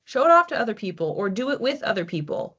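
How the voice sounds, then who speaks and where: A woman reading oracle cards for a general audience in a relaxed, chatty way, thinking aloud as she goes. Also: fairly high pitch, fast, slightly rough. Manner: chatty, thinking aloud with restarts and soft laughs. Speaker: psychic card reader. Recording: slightly dull lo-fi audio.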